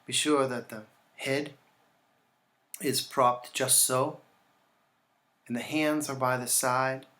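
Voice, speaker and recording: A man with a mid-pitched voice leads a guided relaxation session as a yoga teacher. He speaks calmly and instructively, leaving deliberate pauses between short phrases.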